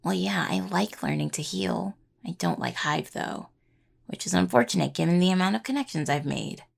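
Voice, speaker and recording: A young adult woman playing an anxious, sweet necromancer healing student in intimate roleplay audio, with a gravelly, creaky voice and a hesitant, rambling, casual delivery. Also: fairly low pitch, moderate pace, exaggerated voice. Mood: stressed, venting.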